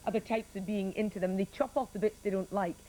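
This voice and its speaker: A woman's voice: medium pitch, steady tone, quick pace, warm tone, slight background noise, poor audio. A woman in the studio audience making an emphatic, repetitive argument. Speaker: audience member. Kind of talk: heated debate.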